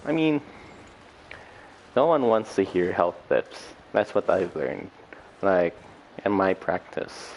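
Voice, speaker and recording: A man's voice: low voice, sing-song, darkish tone, muffled recording, slight background noise. A man giving casual livestream gameplay commentary, rambling and often correcting himself. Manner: halting, rambling, self-correcting. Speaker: livestream host. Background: faint game music.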